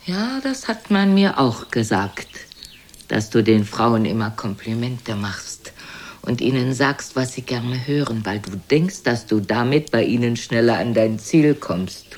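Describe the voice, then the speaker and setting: An older woman playing a frail, sharp-tongued, reproachful old mother in a German radio play, scolding theatrically and dropping into whispers. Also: rumbling low, lively intonation, measured pace, heavily acted. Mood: needling. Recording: noisy older radio recording.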